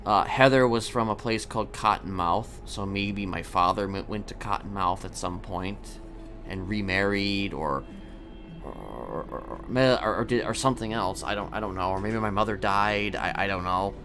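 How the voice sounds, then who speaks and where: A man playing a brooding prisoner in a roleplay game, confiding in a halting, deliberate way and repeating words as he goes. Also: on the low side, a little hiss or hum. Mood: wistful, halting. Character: brooding, confiding male prisoner seeking to reform. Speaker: streamer role-playing a character. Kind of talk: improvised in-character storytelling in a crime roleplay game. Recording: loud music behind.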